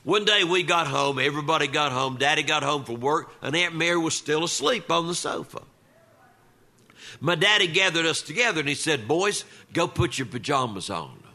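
A man's voice: fairly high pitch, expressive, normal speed, pushed and bright. An older man telling humorous family stories in a folksy, conversational manner, acting out the dialogue of relatives as he goes. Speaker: storyteller. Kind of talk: live humorous family storytelling.

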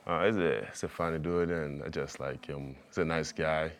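Very deep-voiced young Tanzanian man. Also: steady tone. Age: young adult. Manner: soft-spoken, halting, simple phrasing with fillers. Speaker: college basketball player guest. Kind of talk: casual interview answers.

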